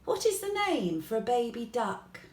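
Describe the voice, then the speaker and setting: A woman's voice: fairly high pitch, very animated, moderate pace, a little mellow, voice pushed up. A woman voicing a friendly, curious, childlike male dog in a children's bedtime story, inquisitive and pleased with discoveries. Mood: puzzled, musing. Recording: echoey room.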